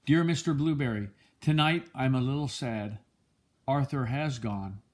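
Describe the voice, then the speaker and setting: An older man with a husky voice reads a children's picture book aloud in a gentle, measured storytelling manner. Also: medium pitch. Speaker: storybook reader, a grandfather.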